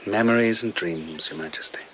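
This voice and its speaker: A man's voice: on the low side, a little fast, a bit bright, a little hiss or hum, natural voice. A man in a radio drama playing a dreamy, hard-drinking vagabond who tells lyrical, rambling tales as a royal favourite. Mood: wistful, teasing.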